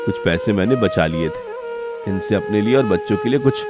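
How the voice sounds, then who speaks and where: A man's voice: on the low side, animated, a little fast, close to an everyday voice. Man acting humble, worried poor village labourer over music. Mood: gentle. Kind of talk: Hindi moral-story cartoon dialogue. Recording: music behind the voice.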